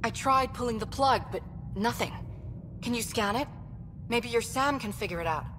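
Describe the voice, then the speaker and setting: An actress playing an earnest, devout alien starship engineer in a video game, speaking with urgent, slightly hesitant sincerity. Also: female voice, on the high side, expressive, moderate pace, big-room sound, music in the background, close to an everyday voice. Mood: earnest, practical.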